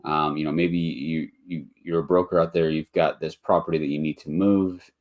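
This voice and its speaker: A man's voice: a bass voice, steady tone, moderate pace, darkish tone. A man hosting a live webinar in a casual, rambling way, a bit nasal and congested from allergies, with lots of ums and restarts. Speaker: webinar host, motel investor.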